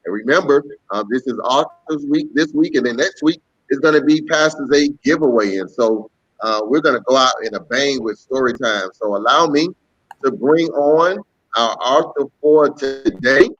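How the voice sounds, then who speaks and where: Guy hosting a kids story time livestream, casual chat, kinda dull lo-fi audio, middle-pitched voice. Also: moderate pace, fairly bright tone, husky. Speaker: host, pastor. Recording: dull lo-fi webcam stream.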